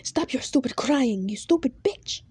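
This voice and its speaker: A woman voicing a cruel, hot-tempered male boss who shouts and swears. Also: mid-range voice, lively intonation, takes it slowly, slightly rough, no echo. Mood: furious.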